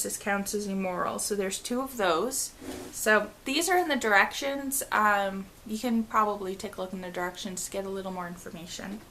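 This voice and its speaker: Woman, 30s, casual home vlog, reads cards aloud, bright tone, faint hiss. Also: on the high side. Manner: chatty, reads card text aloud, trails off and restarts. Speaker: vlogger. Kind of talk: casual unboxing walkthrough. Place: living room at home, indoors.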